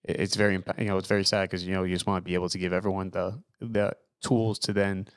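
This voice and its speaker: Young male host, low voice, dry close sound, loose and rambling. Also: little pitch movement, quick pace, clear, resonant tone, dry recording. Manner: rambling, many fillers and restarts. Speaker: podcast host. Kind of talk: casual interview chat.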